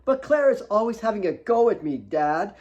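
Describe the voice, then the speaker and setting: A man voicing a defiant, sharp-tongued fourteen-year-old girl who spits out heated complaints. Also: sits high, animated, moderate pace, dull audio, voice pushed up. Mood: angry, indignant.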